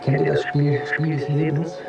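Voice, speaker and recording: An actor in a German radio drama playing an anxious, stubborn hypochondriac who broods and justifies himself in a naturalistic way. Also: male voice, a little high, little pitch movement, normal speed, gravelly, lo-fi sound, loud music behind the voice. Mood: brooding. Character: anxious, stubborn male hypochondriac, technical editor.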